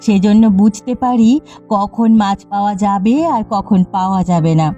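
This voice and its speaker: Woman as firm kindly eldest sister, cartoon fisherwoman, mellow, slightly muffled recording. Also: medium pitch, moderate pace, lightly acted. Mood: patient, generous. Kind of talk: Bengali cartoon dialogue. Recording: slightly muffled audio with background music. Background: background music.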